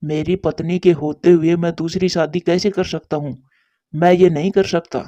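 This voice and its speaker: A man's voice: on the high side, steady tone, normal speed, mellow, no room sound, lightly acted. A man voicing a patient, devoted husband pigeon in a cartoon story, earnest and consoling, in a dull lo-fi recording. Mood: resigned, firm. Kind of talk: Hindi cartoon story dialogue.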